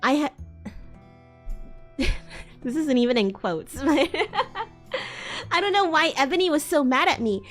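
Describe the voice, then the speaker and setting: High-voiced woman reading theatrically. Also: animated, a little slow, long pauses, a bit bright. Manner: theatrical, amused. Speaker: streamer. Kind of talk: dramatic live reading of fan fiction. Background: faint music.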